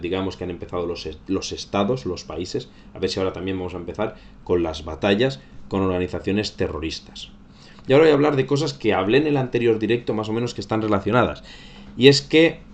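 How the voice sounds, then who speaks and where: Spanish guy from spain w deep voice, chatting about the news on stream, repeats and rewords stuff a lot. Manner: conversational, repeats and rephrases phrases. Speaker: host of a current affairs livestream. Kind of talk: casual live news commentary.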